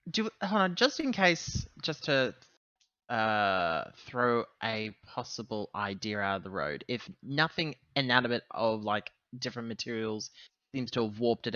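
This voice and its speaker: A man playing a tabletop game over a voice call, talking casually and haltingly as he thinks out loud. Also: mid-range voice, dry recording, lo-fi sound. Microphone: online voice call.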